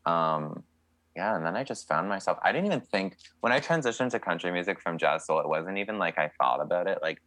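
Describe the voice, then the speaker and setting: A man's voice: sits low, animated, a little fast, a bit bright, no room sound. A young man from Canada, a country singer, answering interview questions in a chatty, candid way with many fillers.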